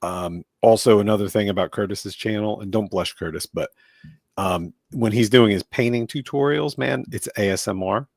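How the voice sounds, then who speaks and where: A man's voice: on the low side, moderate pace, vocal fry, no room sound, clean studio sound. A man hosting a casual podcast chat from New Jersey, joking and rambling with lots of fillers. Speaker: host.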